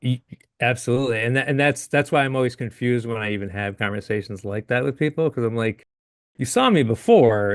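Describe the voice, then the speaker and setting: A man in his late thirties, a guest in a casual interview, talking in a halting way with frequent restarts and easy laughs. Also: medium pitch, no room sound, studio quality. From Upstate New York. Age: 30s.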